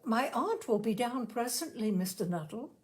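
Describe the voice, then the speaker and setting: A woman's voice: fairly high pitch, lively intonation, moderate pace, darkish tone, natural voice. A woman voicing a self-possessed fifteen-year-old girl from a storybook, with a poised, confiding, dramatic manner. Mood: poised, polite.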